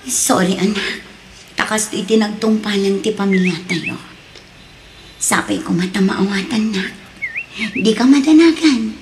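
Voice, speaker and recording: A woman's voice: medium pitch, a little slow, pauses often, slight background noise, lightly acted. A woman with a Filipino accent playing a hurt but resolute young mother in an Ilocano radio drama. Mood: apologetic, reassuring. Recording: music behind the voice.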